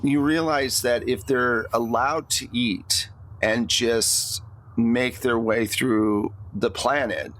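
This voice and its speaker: A man speaking as game master, narrating a tabletop roleplaying game in a conversational way. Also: medium pitch. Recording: clear steady background noise.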